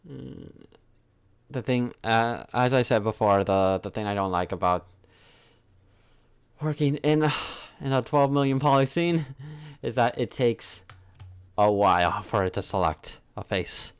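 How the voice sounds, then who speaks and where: A man's voice: medium pitch, lively intonation, a little slow, clear, resonant tone. A man hosting a livestream, chatting casually and thinking aloud in an offhand way as he works. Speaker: livestream host, 3D artist. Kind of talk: casual livestream chat while working.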